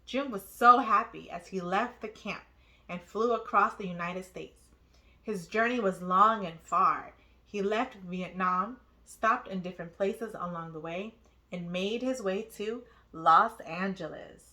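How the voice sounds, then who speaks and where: A woman narrating a children's storybook aloud, with expressive, deliberate phrasing. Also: medium pitch. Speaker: children's book read-aloud host.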